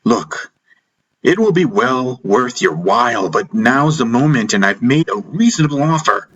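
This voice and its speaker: A man's voice: mid-range voice, lively intonation, a bit bright, muffled recording, voice pushed up. A man voicing an insistent, commanding stranded army major bargaining urgently in an audiobook. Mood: insistent, persuasive. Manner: insistent, emphatic, halting when pleading. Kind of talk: dramatic audiobook dialogue. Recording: music behind the voice.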